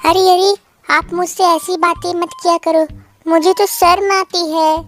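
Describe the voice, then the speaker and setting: A squeaky-high young female cartoon bird, acted by a woman, pleading and long-suffering. She speaks in a plaintive, melodramatic way over a music bed. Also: fairly even intonation, measured pace. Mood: bashful, grateful. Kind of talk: Hindi children's cartoon dialogue.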